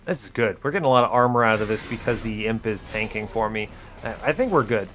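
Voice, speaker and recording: A man's voice: fairly low pitch, fast, fairly bright tone, a little hiss or hum. A man giving casual video game commentary, thinking aloud and questioning himself as he talks through each decision. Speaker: gaming video host.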